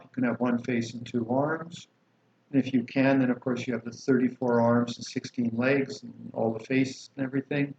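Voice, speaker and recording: Calm male meditation teacher, roomy lo-fi. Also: medium pitch. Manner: calm, explanatory, drawn-out chanting of mantras. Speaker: Buddhist teacher leading practice. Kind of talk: guided meditation teaching and liturgy recitation. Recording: roomy, dull lo-fi recording with faint hiss.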